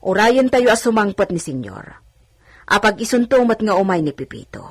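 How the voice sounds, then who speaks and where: A husky older village woman, played by a female actor, respectful and matter-of-fact. She acts as a plain-speaking go-between in a radio drama. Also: medium pitch, lively intonation, normal speed, natural voice.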